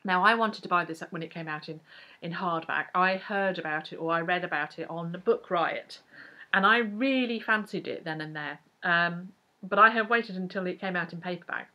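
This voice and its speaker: A young woman from England chats casually to her viewers about books and home life. Her voice sits slightly low but has a bright, forward tone. She rambles with restarts and fillers, talking as if to a friend. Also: normal speed. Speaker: book vlogger. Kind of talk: casual chat to camera.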